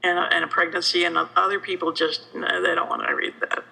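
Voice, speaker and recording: A woman's voice: on the low side, level tone, quick pace, vocal fry, pushed and bright, slightly rough, rough recording. A woman in a casual interview as a guest author, joking and poking fun at herself. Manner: joking, self-deprecating. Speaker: guest novelist being interviewed. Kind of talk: casual chat.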